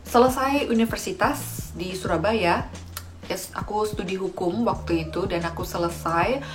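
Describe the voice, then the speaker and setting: A woman's voice: mid-range voice, normal speed, music in the background. A woman from Indonesia with an Indonesian accent, talking casually to viewers as a vlog host and mixing in English phrases. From Malang, East Java, Indonesia. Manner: addresses viewers directly, mixes in English phrases. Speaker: vlogger and host. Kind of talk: casual vlog talk to camera.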